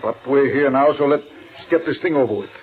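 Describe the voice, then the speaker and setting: An actor playing a skeptical, dignified judge in an old-time radio mystery, with a formal, brusque and commanding manner. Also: male voice, mid-range voice, a little fast, close to an everyday voice. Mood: impatient. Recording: tinny vintage radio recording.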